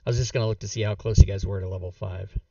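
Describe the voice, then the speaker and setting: A man's voice: on the low side, fairly even intonation, rapid-fire, gravelly, dark tone, no room sound. A man hosting a tabletop game stream, chatting casually and rambling with lots of fillers while losing his voice. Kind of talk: casual end-of-stream chat. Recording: dull, slightly distant, dry mic.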